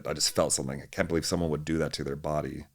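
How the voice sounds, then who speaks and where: A man's voice: rumbling low, fairly even intonation, rapid-fire, gravelly. A young man from Hawaii, a fitness coach, chatting easily as a podcast guest and laughing often. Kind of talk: casual interview chat.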